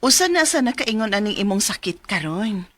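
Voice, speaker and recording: An actress with a Cebuano accent playing a calm, professional doctor who explains and advises in a Philippine radio drama. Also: female voice, medium pitch, brisk, no room sound. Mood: advising.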